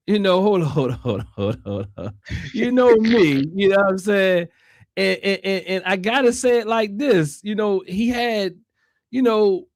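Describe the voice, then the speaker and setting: An American man hosting a casual livestream discussion, speaking haltingly and repeating phrases for emphasis. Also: high voice, darkish tone, no room sound, clean studio sound. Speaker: online show host.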